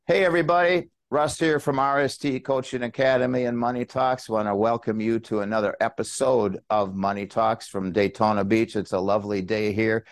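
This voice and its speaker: A man hosts a podcast with a fairly low voice. He is informal and chatty, addressing listeners and guest directly. The sound is dry, with very little room in it. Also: steady tone, a little fast, few pauses, dry recording. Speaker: podcast host and business coach. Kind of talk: casual podcast introduction and interviewing.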